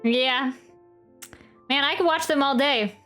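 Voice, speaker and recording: Female game streamer, fairly high voice, casual off-the-cuff reactions over faint game music. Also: slow pace, fairly bright tone. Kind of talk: casual gameplay reaction and commentary.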